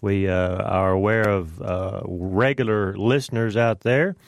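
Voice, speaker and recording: A man's voice: on the low side, fairly even intonation, unhurried, dry recording. A man hosting a morning religious radio show, chatting in a folksy way with many fillers and greeting listeners by name. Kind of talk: casual morning radio chat. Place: radio studio. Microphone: studio microphone.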